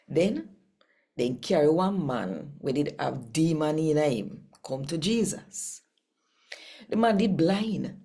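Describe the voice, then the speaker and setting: Low, unhurried Jamaican woman reading scripture. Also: dark tone. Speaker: host reading daily scripture. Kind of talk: expressive Bible reading in Patois with direct address to listeners.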